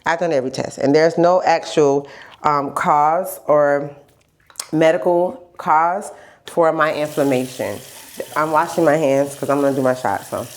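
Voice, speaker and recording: A woman's voice: sits low, a little slow. A woman vlogger chatting casually in a candid, rambling video diary, stuffy from a lingering cold and repeating phrases for emphasis. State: stuffy, getting over a cold. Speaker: vlogger sharing health journey.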